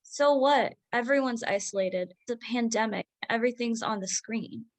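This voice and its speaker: A woman playing an overworked, proud doctor mother in a staged drama reading, brisk, insistent and defensive. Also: on the high side, natural voice.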